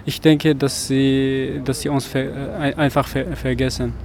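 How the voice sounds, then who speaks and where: A man from Afghanistan speaking haltingly at a street protest, often pausing to search for words. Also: mid-range voice, little pitch movement, gravelly, darkish tone, slight background noise. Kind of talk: street interview. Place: outdoors on a city street.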